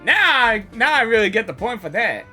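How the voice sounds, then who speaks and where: Bright, animated male gamer reacting. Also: very high-pitched, normal speed, soft background music. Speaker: gamer reacting to a story quest. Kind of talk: casual live reaction commentary.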